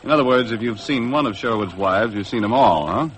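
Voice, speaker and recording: A man's voice: medium pitch, expressive, brisk, fairly bright tone, slight background noise, natural voice, low-quality recording. An actor playing a wry, tough American boat skipper who narrates his own adventure in a 1950s radio drama. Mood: dry. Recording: vintage radio recording with music.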